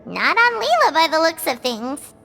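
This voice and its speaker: A woman voicing a small, chirpy, blunt fairy companion with a squeaky childlike voice in a video game. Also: huge pitch swings, normal speed, creaky, pushed and bright, heavily acted. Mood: incredulous. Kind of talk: animated video game voice acting. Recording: game music under the voice.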